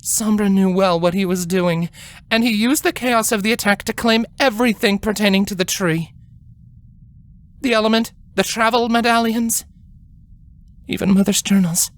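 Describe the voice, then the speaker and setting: A woman's voice: mid-range voice, fairly even intonation, moderate pace, voice pushed up, lightly acted. A woman voicing a stern, regal elder alicorn queen, grim and commanding, in a dramatic fantasy story reading. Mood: firm, cautioning. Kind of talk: dramatic fan fiction reading.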